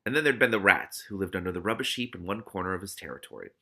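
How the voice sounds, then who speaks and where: A man hosts a read-aloud, narrating a novel and breaking in with his own comments. His voice is extremely bright and forceful. He is expressive and exclamatory, with wide, lively movement in pitch. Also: fast.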